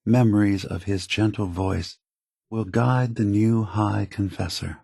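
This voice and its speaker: A solemn synthetic leader in a video game. His low, slightly husky voice moves very slowly and gravely. He sounds weary and diplomatic, choosing each word with measured care. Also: steady tone, dark tone, no echo, heavily acted. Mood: calm, grateful. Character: weary, solemn android leader of a refuge, male. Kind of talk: scripted game dialogue.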